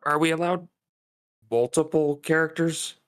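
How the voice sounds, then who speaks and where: A deep-voiced man talks casually as a volunteer at an online reading. He is polite and slightly hesitant in the way he puts things. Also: a little slow, clean tone. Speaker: volunteer reader at a table read. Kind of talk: casual chat.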